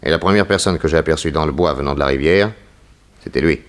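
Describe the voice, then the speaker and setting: A private detective in an old 1960s radio serial. His voice is low and authoritative. He speaks in a measured, probing way, suspicious and sure of himself. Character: shrewd, suspicious male detective, composed and authoritative. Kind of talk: 1960s French radio mystery serial acting.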